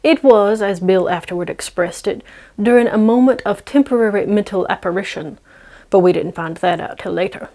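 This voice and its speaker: A woman's voice: medium pitch, moderate pace, warm tone. Woman storyteller reading a classic tale. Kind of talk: dramatic audiobook-like story narration.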